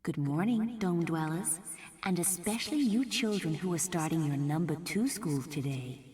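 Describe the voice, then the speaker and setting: A woman's voice: lowish voice, expressive, moderate pace, darkish tone, in a large hall, lightly acted. A woman voicing a kindly, soothing broadcast announcer speaking to children. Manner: soothing, persuasive.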